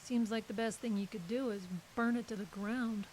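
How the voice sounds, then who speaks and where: A woman's voice: a little high, steady tone, normal speed, a little mellow, natural voice, rough recording. Woman acting curt literary agent, hissy recording. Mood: dry, reflective. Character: guarded, brusque female literary agent, shaken. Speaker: actor in an audio drama. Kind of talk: naturalistic audio drama acting.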